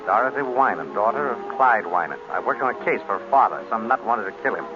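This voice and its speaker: An actor playing a suave, easygoing, wisecracking gentleman detective in an old radio drama, with breezy, wry delivery. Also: on the low side, expressive, over music, natural voice. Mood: dry, bantering. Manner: breezy, wry, unhurried banter. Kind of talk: 1930s radio drama acting. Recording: muffled, noisy old radio recording.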